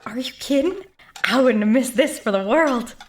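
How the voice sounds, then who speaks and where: A woman's voice: high voice, expressive, normal speed, heavy background noise. A woman playing a sensible, helpful sidekick sleuth, reasonable and conciliatory, in a comic audio drama. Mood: eager. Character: sensible, helpful female sidekick sleuth, quick to smooth things.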